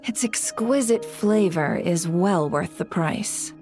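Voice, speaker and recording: A woman's voice: lowish voice, animated, takes it slowly, a little mellow, soft background music. A woman voicing a refined, mocking noblewoman in a video game, poised, elegant and condescending. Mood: amused, condescending.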